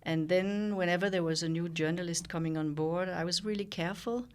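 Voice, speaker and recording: A Danish woman in her sixties, a journalist talking thoughtfully in an interview, pausing and restarting as she reflects. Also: fairly low pitch. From Denmark. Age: 60s. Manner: thoughtful, with frequent pauses and restarts. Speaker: guest, journalist and founder.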